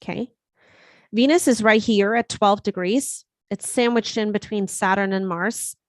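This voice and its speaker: A woman's voice: medium pitch, a little slow, no room sound, studio quality. German-born woman giving an astrology forecast. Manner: conversational, frequent fillers and restarts. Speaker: astrologer host. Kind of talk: live-streamed astrology forecast.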